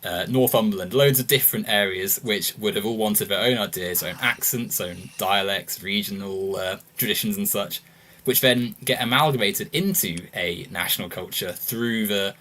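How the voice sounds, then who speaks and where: A British man with a low, bright, forward voice talks through an argument at length. He is measured and explanatory, leaning on "um" and "you know" as he builds each point. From United Kingdom. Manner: explanatory, measured, with many fillers. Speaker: guest. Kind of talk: casual political discussion.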